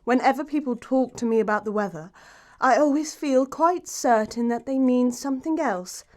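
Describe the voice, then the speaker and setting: A woman's voice: high-pitched, normal speed, lightly acted. A woman playing a self-assured, romantic young upper-class English lady, poised and precise, in an audiobook stage comedy. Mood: coy, assured. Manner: poised, precise, grandly emphatic.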